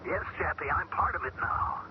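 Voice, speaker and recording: An actor playing a man taken over by an alien mind, who talks calmly and measures his words in an old radio drama. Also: on the high side, gravelly, full character voice. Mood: eerie. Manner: calm, measured, searching for words. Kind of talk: 1970s radio drama acting. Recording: dull old radio broadcast with loud hiss.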